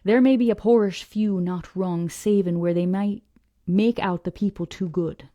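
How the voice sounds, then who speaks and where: Woman doing a gruff old fisherman. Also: mid-range voice, fairly even intonation, mellow, dry recording, dull audio, lightly acted. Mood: insistent. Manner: slow, dragged-out dialect speech. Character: old male fisherman, gruff, scornful, preachy.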